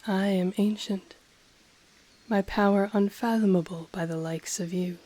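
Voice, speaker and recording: A woman voicing an ancient, haughty, teasing dragon in human form, in an intimate audio roleplay with a breathy voice that often drops to a whisper. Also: lowish voice, mellow. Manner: slow, deliberate, condescending, teasing. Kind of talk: intimate audio roleplay, scripted character acting.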